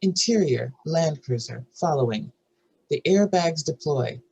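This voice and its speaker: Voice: mid-range voice, expressive, unhurried, vocal fry, darkish tone, husky, muffled recording. A narrator reading out scene headings and stage directions at a screenplay table read.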